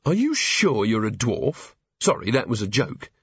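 A man voicing a dry, laconic female watch officer in an audiobook, gently teasing. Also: fairly low pitch, big pitch swings, moderate pace, slightly rough, no room sound, lo-fi sound, full character voice. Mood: wry, relaxed. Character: wry, unflappable female city watch officer.

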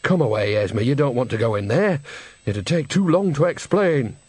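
A man's voice: mid-range voice, expressive, normal speed, a little mellow, breathy edge, no echo. A man reading aloud and voicing an earthy, cheeky old woman witch who talks bluntly. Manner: blunt, exclaiming.

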